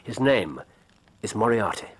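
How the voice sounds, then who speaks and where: A self-assured male detective in a radio play. His voice is low and husky, with lively inflection and an intense, insistent delivery. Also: a little slow. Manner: clipped, insistent. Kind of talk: radio drama acting.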